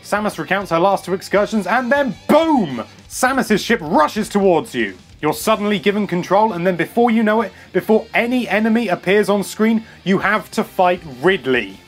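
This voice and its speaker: A British man with a fairly high-pitched voice presents a scripted countdown. His delivery is enthusiastic and cheeky, like a keen video host. Music plays softly behind him. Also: animated, moderate pace.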